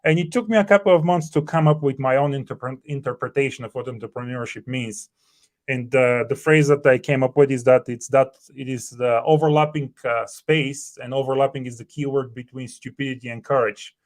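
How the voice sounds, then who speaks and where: A man's voice: mid-range voice, steady tone, a little fast. Bulgarian-native guy on a video call from home, earnest, lots of uhs and restarts. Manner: earnest, thinking aloud with many fillers and restarts. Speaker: startup co-founder, guest. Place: home room.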